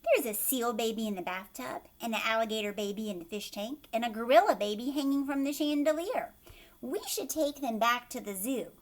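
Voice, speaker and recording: A woman's voice: a little high, a little fast, natural voice. A woman voicing a flustered father in a children's storybook.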